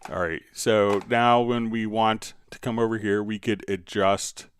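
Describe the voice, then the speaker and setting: A man hosting a live stream, talking viewers through software in a casual, conversational, explanatory way. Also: fairly low pitch, fairly even intonation, measured pace, a little hiss or hum. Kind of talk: casual live tutorial and chat.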